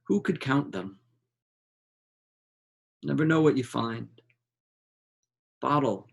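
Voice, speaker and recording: A man reads literary fiction aloud at a slow, measured pace. His mid-pitched voice has a gravelly creak, and he sets short phrases apart with deliberate pauses. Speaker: reader of a literary novel. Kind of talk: dramatic literary reading aloud.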